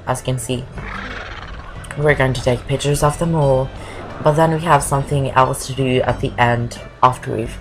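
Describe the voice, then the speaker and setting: A woman's voice: very deep voice, poor audio. A woman from Norway, not an English speaker, giving rambling casual commentary over a game walkthrough and saying "I guess" a lot. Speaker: gameplay commentator. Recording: voice over loud game music.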